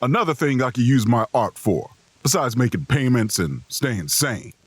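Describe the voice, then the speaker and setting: An actor playing a weary, watchful drifter who narrates a horror audio drama in a folksy, subdued, husky voice. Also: male voice, mid-range voice, lively intonation, moderate pace, no echo. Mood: tense, weary. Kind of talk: audio drama first-person narration and dialogue.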